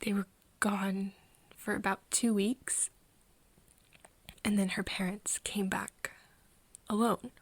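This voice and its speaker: A woman playing an earnest young woman confiding in a friend in an ASMR roleplay, speaking softly and haltingly, often in a whisper. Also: mid-range voice, level tone, no room sound, full character voice. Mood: sad, hesitant. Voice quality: soft, breathy, often whispering. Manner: halting, hesitant confiding with pauses. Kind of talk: ASMR roleplay, intimate one-sided conversation.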